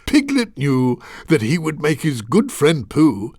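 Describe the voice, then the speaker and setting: A kindly male storyteller in a children's game. His voice sits a little high and moves up and down with lively inflection. He explains things patiently and musingly, as if reading a storybook to small children. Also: no room sound, clean studio sound, exaggerated voice. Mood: gentle storytelling. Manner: measured, gently musing, explanatory. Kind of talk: storybook narration.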